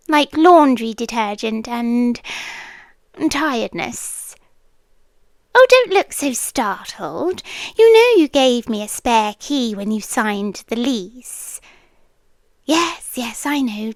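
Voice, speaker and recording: A woman's voice: high-pitched, no echo, full character voice. A British woman playing a possessive, doting yandere landlady girlfriend in an ASMR roleplay, cooing intimately and slipping into whispers close to the mic. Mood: fussing, reproachful. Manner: cooing, breathy asides that drop into whispers. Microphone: close, dry microphone.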